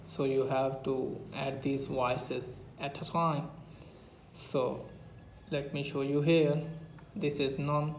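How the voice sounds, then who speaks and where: A man's voice: medium pitch, flat delivery, takes it slowly, vocal fry. A man narrating a step-by-step phone app tutorial with repetitive instructional phrasing. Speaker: tech tutorial YouTuber. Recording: roomy echo, faint background noise.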